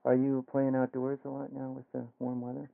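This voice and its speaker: Man, American radio interviewer, creaky voice, monotone, low-key and conversational, thin phone-like vintage broadcast sound. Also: brisk, no echo. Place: radio studio.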